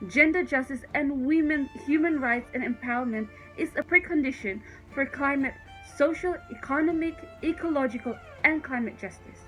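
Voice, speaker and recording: A woman's voice: high-pitched, normal speed, few pauses, fairly bright tone, rough recording. A young woman from the Solomon Islands, a climate activist, speaking earnestly. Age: young adult. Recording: music underneath.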